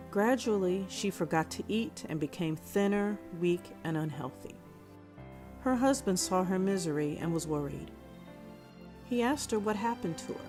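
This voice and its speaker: A woman reading a scripted children's fairy tale as a measured storyteller. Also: fairly low pitch. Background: music.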